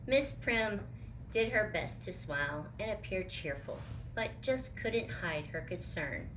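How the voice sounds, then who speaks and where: A woman's voice: mid-range voice, expressive, a little slow, a bit bright, slight background noise, low-quality recording. A woman reading a children's picture book aloud as a storyteller, expressively and with dramatic emphasis.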